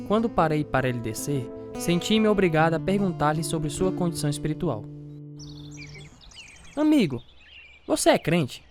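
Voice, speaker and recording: A man's voice: fairly high pitch, big pitch swings, moderate pace, long pauses, music in the background. A man acting as an earnest former boxer turned evangelist, narrating his life story in a scripted radio drama. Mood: friendly, eager. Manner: earnest, devout. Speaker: narrator and lead actor.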